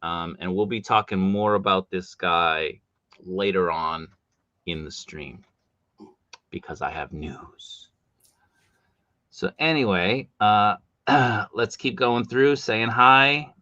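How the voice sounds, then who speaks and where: A man hosting a live stream from home, chatty and animated, with a big voice and coming down with a cold. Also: lowish voice, slow pace, long pauses, no echo, lo-fi sound. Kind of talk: casual live stream chat.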